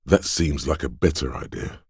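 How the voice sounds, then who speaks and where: A man voicing a detective's quick-witted inner voice in a video game, narrating in a measured, deliberate way with short, wry, alert asides. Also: extremely low pitch, slightly rough, no room sound, clean studio sound, heavily acted. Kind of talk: scripted game narration, second-person inner voice.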